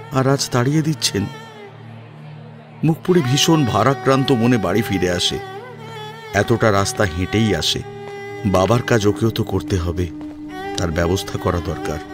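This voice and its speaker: A man narrating a dramatic audio story in an emotive, theatrical way. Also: medium pitch.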